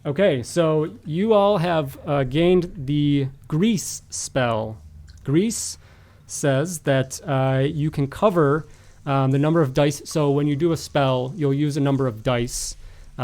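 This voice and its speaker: A man narrating as game master of a tabletop game, improvising with halting, hesitant phrasing. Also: medium pitch, a little mellow.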